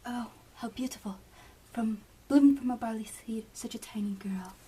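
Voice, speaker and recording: A woman voicing a gentle, adoring old mother in a storybook, in a breathy, whispery voice. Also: fairly high pitch, normal speed, pitched below the performer's own voice. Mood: tender, awed.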